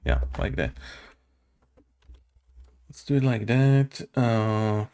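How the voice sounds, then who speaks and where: Slow, gravelly man thinking aloud. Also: lowish voice, expressive, a little mellow. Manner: hesitant, muttering, many fillers and self-questions. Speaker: game developer coding on stream. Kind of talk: thinking aloud while coding. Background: keyboard typing and clicking.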